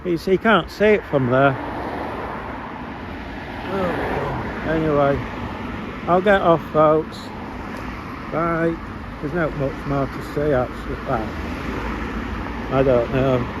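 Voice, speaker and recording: A man's voice: fairly high pitch, slow pace, long pauses. A man with a cold, chatting casually to camera and muttering to himself outdoors. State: has a cold. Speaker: vlogger filming outdoors. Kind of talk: rambling casual chat to camera. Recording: outdoor traffic noise.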